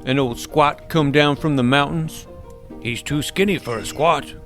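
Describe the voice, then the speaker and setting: A man voicing rough, dim, mocking peasant tavern drinkers with folksy, ungrammatical talk in a fantasy audio drama. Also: a little high, a little slow, a bit bright, faint music behind, voice pushed up. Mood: curious, mocking.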